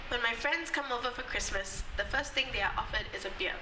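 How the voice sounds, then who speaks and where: A woman's voice: fairly high pitch, brisk. A young woman from Singapore performs poems with a dry, wry edge. Her voice carries from a distance in an open courtyard with echo around it. Background noise stays under everything. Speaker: spoken word poet. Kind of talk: poetry performance. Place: outdoor courtyard. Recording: echoey, distant, noisy outdoor recording.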